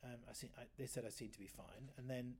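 Man, late fifties, fairly high voice, chatty storyteller for a casual interview. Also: fairly even intonation, quick pace, darkish tone, breathy edge. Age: 50s. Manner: chatty, rambling storyteller with self-corrections. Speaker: guest oboist.

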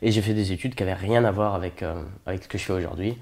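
A man's voice: low voice, fairly even intonation, very fast, creaky, darkish tone. A French man in his twenties chatting casually as an interview guest, joking and self-deprecating in his manner. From France. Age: 20s.

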